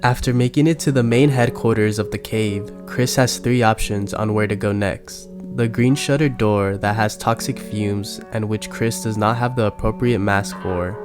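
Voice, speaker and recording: Low-voiced man narrating over music. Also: steady tone, moderate pace, few pauses. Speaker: video game lore narrator. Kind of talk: scripted recap narration. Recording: music bed under the narration.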